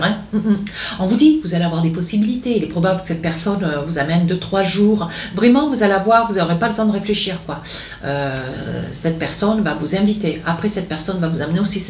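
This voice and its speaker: A woman's voice: on the low side, roomy sound, a little hiss or hum. A woman giving a monthly tarot guidance to her viewers, meandering and hesitant, coaxing the listener with lots of fillers and tag questions. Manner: intuitive, coaxing, speaks directly to the listener. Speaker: tarot card reader.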